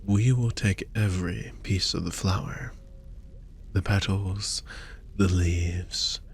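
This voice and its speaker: A man playing a suave, possessive vampire fortune teller in an intimate audio roleplay, speaking formally and theatrically with breathy murmurs. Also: sits low, steady tone, slow pace, slight background noise. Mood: suave, flattering. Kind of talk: intimate scripted audio roleplay addressed to the listener.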